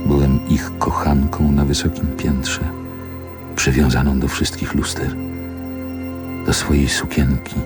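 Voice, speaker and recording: Very deep, slow, brooding man reminiscing. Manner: measured, deliberate phrasing. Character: brooding grown man lyrically recalling a rough riverside city childhood. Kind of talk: poetic radio play monologue. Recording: music under the voice.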